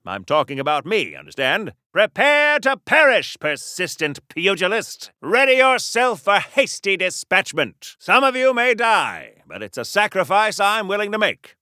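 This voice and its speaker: A grandiloquent golden-age superhero swordsman for a video game. His voice sits high and swoops widely, with flowery archaic phrasing and boastful melodrama straight out of a 1940s radio serial. The recording is dry and close. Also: bright, forceful tone, no echo, full character voice. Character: pompous, old-timey theatrical masked superhero swordsman, male, cheerfully boastful.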